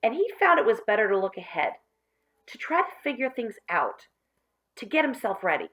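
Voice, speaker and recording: A woman storyteller reading a children's book aloud expressively, with deliberate phrasing and stressed key words. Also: fairly high pitch, big pitch swings, a bit bright.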